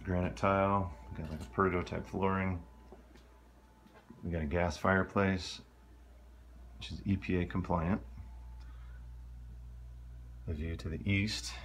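A man, a real estate agent, giving a relaxed, offhand walkthrough of a condo while filming on a handheld phone. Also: low voice, unhurried, long pauses, slight background noise. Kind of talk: casual property walkthrough. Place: condo interior, walking through rooms.

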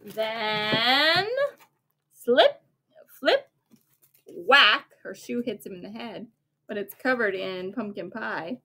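A woman in her forties reading a children's picture book aloud, pausing to explain it simply to a small child. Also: mid-range voice, expressive, bright, forceful tone. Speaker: storybook reader to a small child. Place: bright sunroom at home, seated on wicker sofa. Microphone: camera a few feet away.

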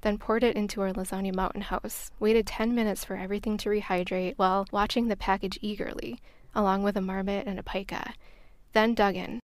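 A woman's voice: mid-range voice, fairly even intonation, a little fast, no room sound. American woman narrating, close dry sound. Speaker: podcast host. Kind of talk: scripted travel storytelling narration.

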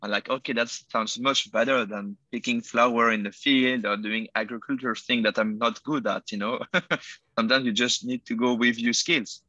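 Young Swiss man, bright dry call voice. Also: medium pitch, steady tone, moderate pace, dry recording, muffled recording. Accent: French. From Switzerland. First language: French. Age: 20s. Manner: hesitant, many fillers and self-corrections. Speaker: guest entrepreneur. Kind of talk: casual interview answers. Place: indoor room by a window. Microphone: earbuds on a video call.

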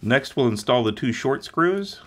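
A man's voice: medium pitch, very animated, measured pace, a little hiss or hum. A man walking through an electronics repair step by step in a plain, methodical way. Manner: methodical, plain instructional delivery. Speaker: repair instructor.

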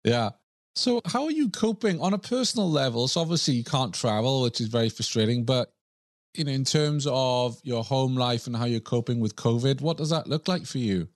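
A man's voice: medium pitch, lively intonation, moderate pace, no echo, studio quality. A man hosting a podcast, asking his guest questions politely and with curiosity. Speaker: podcast host. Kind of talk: podcast interview.

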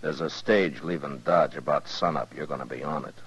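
An actor playing a laconic, world-weary western marshal, terse and dry, in a 1950s radio drama. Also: male voice, extremely low pitch, a little fast, a bit bright, husky, exaggerated voice. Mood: stern. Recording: dull 1950s radio recording.

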